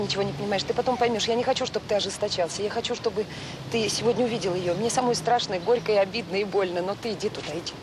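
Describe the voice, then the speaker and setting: An actress playing a devoted, earnest director of a children's home, speaking in short emphatic phrases in a naturalistic radio drama. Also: female voice, natural voice. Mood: pleading, anguished. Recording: roomy sound with faint noise and rumble.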